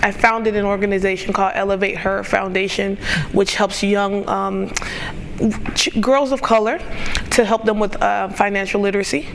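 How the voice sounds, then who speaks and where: A woman's voice: mid-range voice, fairly even intonation, normal speed, gravelly, a little hiss or hum, low-quality recording. A middle-aged woman from Miami, chatting upbeat and easily laughing as a guest on a talk show. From Miami, Florida. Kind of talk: casual interview chat.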